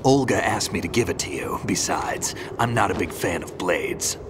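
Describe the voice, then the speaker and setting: A deep, gravelly male veteran soldier from a video game. He is stoic and dry, speaking in short, weighty phrases like a reluctant mentor. A noticeable ambient rumble sits behind the voice. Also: normal speed. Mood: confident, dry. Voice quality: gravelly, gruff. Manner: terse, dry, unflappable. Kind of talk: video game cutscene voice acting.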